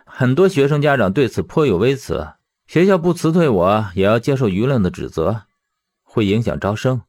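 A man's voice: medium pitch, expressive, normal speed, darkish tone, lightly acted. A man voicing a principled, put-upon schoolteacher in his twenties for an audiobook, resigned and placating in manner. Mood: weary resignation. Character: resigned, principled 27-year-old male schoolteacher, kind but put-upon.

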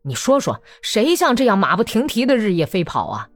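A woman's voice: mid-range voice, wildly swooping pitch, a little fast, no room sound, soft background music, pitched above the performer's own voice. A woman voicing a weary male soldier orderly who grumbles and pleads, raising her voice above her usual tone. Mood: grumbling, exasperated. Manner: complaining, pleading.

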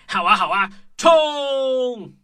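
Cheerful little meatball creature, female performer. Children's radio play, very animated, unhurried, enthusiastic. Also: high voice, over music, exaggerated voice. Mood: gleeful. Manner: exclaiming, enthusiastic.

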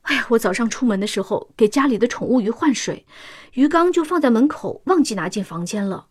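A working-class mother in a sci-fi radio drama, her voice high and swinging widely in pitch. She is protective and anxious, sighing and pleading with open emotion as she speaks of the child she adores. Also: lively intonation, a little fast, slightly rough. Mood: worried. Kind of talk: science-fiction radio drama acting.

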